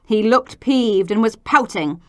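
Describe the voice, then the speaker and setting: A woman reading a children's storybook aloud in a theatrical, deliberate way, acting out the characters. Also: on the high side, a bit bright, no echo, studio quality. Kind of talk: expressive children's storybook narration.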